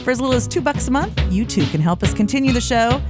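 A woman's voice: on the high side, expressive, fast talker, over loud music. A woman hosting a talk show, upbeat and effusive, chatting casually with guests. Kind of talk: scripted intro, casual chatty interviewing. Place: small music studio.

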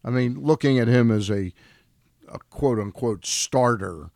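A man chatting casually as a commentator on a sports radio show, with a halting, questioning way of talking. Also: mid-range voice, vocal fry, darkish tone, no echo, studio quality. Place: radio studio.

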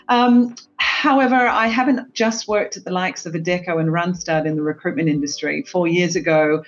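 A woman's voice: on the low side, dull audio. An Australian woman in her forties, an HR executive introducing herself on a panel podcast in an upbeat manner with frequent fillers.

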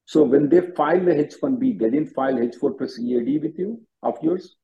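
A man's voice: mid-range voice, expressive, a little fast, mellow, lo-fi sound. A man hosting an advisory call-in as an attorney, directive and given to repeating himself. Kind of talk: advisory call-in question and answer.

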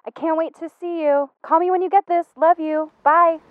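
Tinny phone line, actress as a sweet affectionate girlfriend leaving voicemail, high voice. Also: fairly even intonation, normal speed. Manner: tender, casual. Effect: telephone voicemail filter. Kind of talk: audio drama acting.

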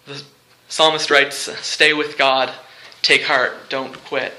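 Bright-voiced male preacher in an echoey room. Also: medium pitch, level tone. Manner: rhetorical, short phrases with deliberate pauses and repetition. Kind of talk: sermon with movie illustrations and humour. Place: live talk in a large room before listeners.

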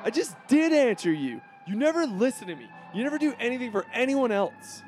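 A man's voice: very high voice, big pitch swings, brisk, darkish tone, faint music behind. An actor voicing a spoiled, arrogant teenage rich boy in a comedic cartoon, petulant and pompous in manner. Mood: whiny, indignant. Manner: pompous, petulant, foul-mouthed.

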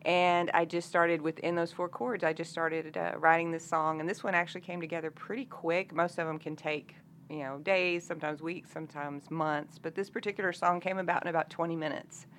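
A woman's voice: on the low side, little pitch movement, a little fast, fairly bright tone, slight background noise. A woman, speaking casually as a singer-songwriter being interviewed. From Arkansas, United States. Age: 50s.

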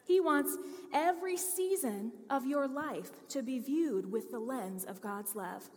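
High-voiced woman preaching in a reverberant hall. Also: a little slow. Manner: earnest storytelling preacher, lively emphasis. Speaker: pastor. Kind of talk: sermon.

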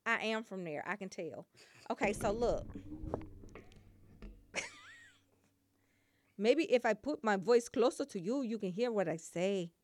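A woman's voice: mid-range voice, expressive, measured pace, long pauses, darkish tone. A woman hosting a casual comedic show, playful and teasing, trying on mock accents. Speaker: show host. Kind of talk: casual comedic live chat.

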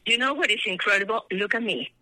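A middle-aged woman with a Peruvian Spanish accent, talking earnestly as a guest in a radio interview, pausing and stressing words. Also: medium pitch, pushed and bright, no echo, rough recording. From Peru. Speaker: radio guest, initiative sponsor.

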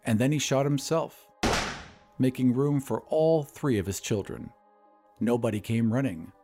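A man's voice: medium pitch, measured pace, mellow. A man narrating a scripted story dramatically as host, with music behind him.

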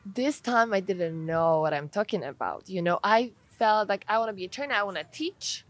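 Woman, earnest and halting. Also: mid-range voice, lively intonation. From Poland. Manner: earnest, searching for words, frequent restarts. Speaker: athlete and trainer. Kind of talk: casual interview answers.

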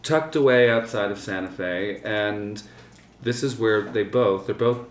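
A man's voice: on the low side, roomy sound, far from the mic. A man co-hosting a podcast, talking casually with frequent restarts as he interviews a guest. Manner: halting, with many restarts and trailing off. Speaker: podcast co-host. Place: home living room.

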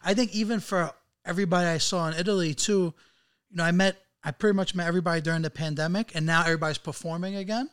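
A man's voice: sits high, steady tone, racing, fairly bright tone, clear, resonant tone. A man hosting a podcast in a casual interview, friendly and chatty, filling his talk with "you know" and "like". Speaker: podcast host.

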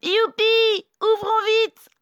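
A woman voicing cheeky, excitable young storybook goats with squeaky, childlike, singsong delivery. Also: measured pace, no room sound, studio quality. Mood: delighted. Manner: loud, singsong taunting. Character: chorus of cheeky, excitable little goat kids.

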